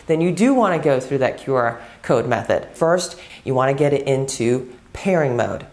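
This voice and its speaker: Very low-pitched woman giving a tech tutorial. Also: a little mellow. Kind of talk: step-by-step product demo and tutorial.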